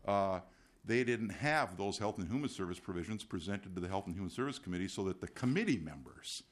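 Low-voiced man raising procedural concerns. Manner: measured, voicing procedural concern. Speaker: state senator. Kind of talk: legislative floor debate.

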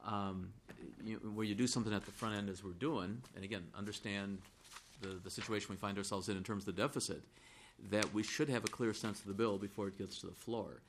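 Hoarse middle-aged man debating in a legislature. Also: on the low side, a little hiss or hum. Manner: deliberate, supplementing a colleague's point. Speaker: state senator.

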